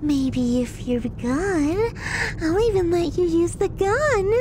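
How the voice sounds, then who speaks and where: A woman's voice: animated, heavily acted. A woman playing a sadistic, possessive young yandere on the run in an intimate audio roleplay, speaking theatrically with menacing sweetness. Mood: teasing, smitten. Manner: theatrical, teasing, drawn-out phrasing with menacing sweetness. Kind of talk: intimate ASMR audio roleplay, addressing the listener. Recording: rumbling low background noise.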